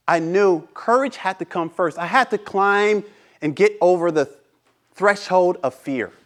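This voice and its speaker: A man whose first language is Haitian Creole, giving a prepared inspirational speech as a guest at a speaking club, turning between loud and hushed and asking rhetorical questions. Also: high-pitched.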